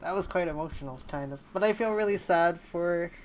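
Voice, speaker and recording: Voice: mid-range voice, a little fast, slight background noise. A game streamer chatting casually on a livestream, hesitant and rambling while thinking aloud. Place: indoors at a streaming setup.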